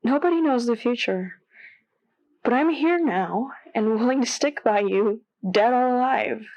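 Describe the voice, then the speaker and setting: A woman's voice: on the high side, measured pace, darkish tone, muffled recording. A woman playing a devoted, affectionate and teasing girlfriend who calls an advice show in an audio drama. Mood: fond, firm. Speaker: caller to a radio advice show.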